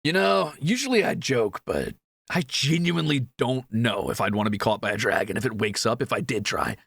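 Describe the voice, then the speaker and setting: A young man playing a cocky, excitable, jittery thief in a fantasy roleplay audio drama, joking and quick to exclaim. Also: medium pitch, gravelly, breathy edge, no room sound, studio quality, heavily acted. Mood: wary, reluctant.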